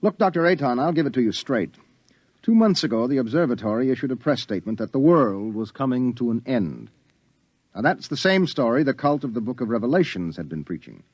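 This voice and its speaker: An actor playing a self-confident newspaper reporter in a 1950s radio drama, talking bluntly and persuasively. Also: male voice, medium pitch, sing-song, a little fast, darkish tone, no room sound. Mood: pressing. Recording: dull, dry old radio recording.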